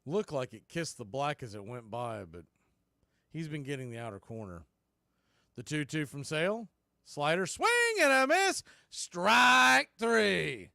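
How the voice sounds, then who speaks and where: An American man with a high voice does baseball play-by-play in a dry, close sound. He speaks in short, punchy calls and drops in conversational asides between pitches. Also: very animated, measured pace, vocal fry, sharp tone, no echo. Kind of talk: live radio play-by-play commentary.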